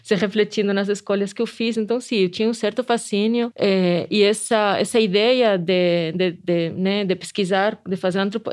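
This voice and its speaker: A woman's voice: mid-range voice, a little mellow. A middle-aged woman professor with a Spanish accent, speaking Portuguese as a second language, answering interview questions thoughtfully, with frequent restarts and tag questions. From Spain. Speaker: anthropology professor.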